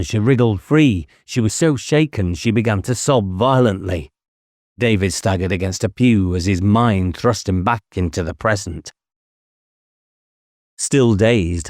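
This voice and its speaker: A man's voice: on the low side, a little mellow, no echo, studio quality. A man reading a novel aloud as an audiobook narrator, in a scripted dramatic style.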